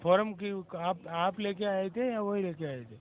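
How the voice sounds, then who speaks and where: A man's voice: high-pitched, a little slow, dry recording. A man working as a helpline agent, formal in address, procedural and slightly curt. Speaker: government helpline call agent. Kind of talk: formal customer service phone talk. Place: telephone call. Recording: narrow-band phone call recording.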